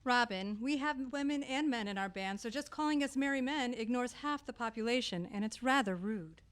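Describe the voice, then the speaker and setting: A woman's voice: fairly high pitch, fairly quick, natural voice. A woman playing a forthright, reproving outlaw in a comic radio play. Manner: pointed, reproving. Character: forthright, sardonic female outlaw. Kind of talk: radio-play comedy acting.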